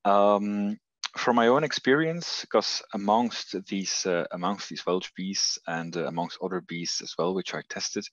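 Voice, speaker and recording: Belgian-accented man, deep voice, halting conference talk over a muffled, dry video call line. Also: no room sound. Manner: hesitant, many fillers and restarts. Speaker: researcher presenting.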